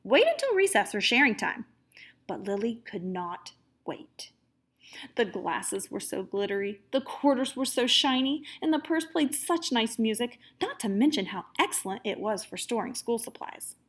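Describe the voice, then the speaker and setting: A woman with a fairly high voice reads a picture book aloud to young children. Her intonation swings widely, and she leans on key words with dramatic, expressive emphasis. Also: moderate pace. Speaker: kindergarten teacher reading aloud. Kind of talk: animated children's storybook read-aloud.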